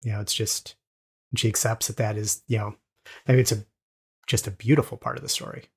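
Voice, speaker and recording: A man hosting a casual podcast interview, speaking enthusiastically in a halting way and often repeating his words as he goes. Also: on the low side, flat delivery, gravelly, darkish tone, husky.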